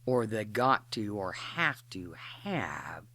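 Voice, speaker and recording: A guided imagery narrator speaking a slow meditation script in short, deliberate phrases with pauses between them. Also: mid-range voice, very animated, pushed and bright.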